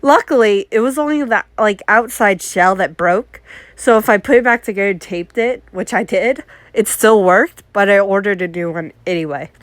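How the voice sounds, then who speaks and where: A congested woman telling casual, animated stories about her art mishaps as a vlogger. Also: mid-range voice, moderate pace, no echo. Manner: chatty, animated, acts out her own exclamations. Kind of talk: casual story time.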